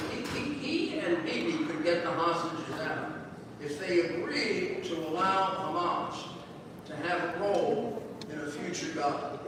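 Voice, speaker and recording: Brief: older male guest, slightly high voice, rambling anecdotes, distant mic in a reverberant hall with noise behind. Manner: rambling, frequent restarts and asides. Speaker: guest speaker. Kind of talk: anecdotal storytelling. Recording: echoey hall from far off, background noise.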